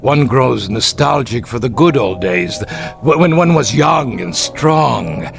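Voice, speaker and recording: An actor playing a proud, self-justifying former Nazi camp captain in a classic radio drama, indignant and imperious in manner. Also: male voice, mid-range voice, animated, takes it slowly, vocal fry, over music, heavily acted. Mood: wistful, self-justifying.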